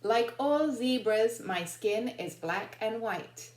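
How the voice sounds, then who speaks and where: A woman voicing a proud, pleading zebra in measured, sing-song picture-book verse. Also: on the high side, expressive, voice pushed up. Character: proud striped zebra pleading to be left alone. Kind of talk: children's picture-book poem reading. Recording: roomy, echoing sound.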